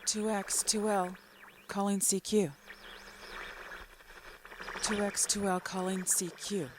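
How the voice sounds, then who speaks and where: A woman playing a lonely, desperate radio operator in a radio drama, repeating calls into silence over clear noise. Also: medium pitch, little pitch movement, lightly acted. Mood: forlorn. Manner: slow, repetitive call-sign chanting and pleading.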